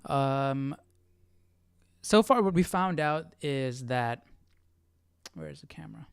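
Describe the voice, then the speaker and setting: A man hosting an informal Bible study, teaching casually and thinking aloud with halting, repeated phrases. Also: medium pitch, animated, clean tone, dry recording, studio quality. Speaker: host of a Bible study live stream.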